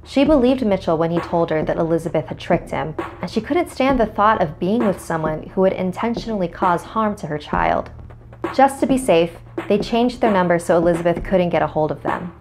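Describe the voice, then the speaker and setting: A woman's voice: on the low side, animated, a little fast, a little mellow, faint music behind. A woman hosting a scary story series, reading scripted horror narration.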